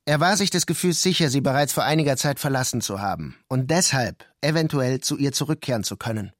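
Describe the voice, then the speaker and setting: A man reading scripted literary narration for a radio play in a measured, deliberate way. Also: medium pitch, expressive, no echo, studio quality. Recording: prominent music under the voice. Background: music.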